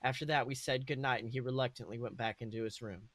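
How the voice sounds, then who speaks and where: A man's voice: medium pitch, steady tone, quick pace, a bit bright. A man hosting a podcast, reading fan fiction aloud in an amused, theatrical, mocking way. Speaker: podcast host.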